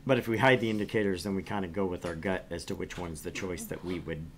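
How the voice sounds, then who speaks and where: A man's voice: low voice, fairly even intonation, fast talker, a crowd in the background. A man streaming a game, chatting informally and jokingly as he plays and reads along. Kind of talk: casual livestream chat while playing and reading a game.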